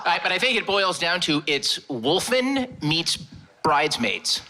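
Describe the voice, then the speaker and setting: A man's voice: a little high, big pitch swings, a little slow, sharp tone. A man co-hosting a podcast, dropping wry, joking remarks into a casual conversation. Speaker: podcast co-host. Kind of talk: casual banter.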